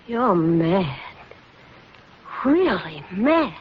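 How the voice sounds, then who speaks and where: Shaken female captive, alarmed, slow halting delivery, muffled old radio drama. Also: on the low side, sing-song, a little hiss or hum, heavily acted. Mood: shocked, accusing. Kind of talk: 1940s radio acting. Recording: dull, lo-fi 1940s radio recording.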